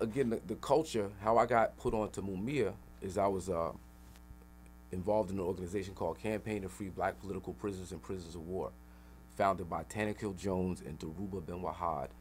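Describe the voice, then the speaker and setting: Emphatic middle-aged New man, lowish voice. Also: a little hiss or hum. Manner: emphatic, streetwise, slangy. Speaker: interview guest, rapper and activist. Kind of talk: animated storytelling in a radio interview. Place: radio interview room.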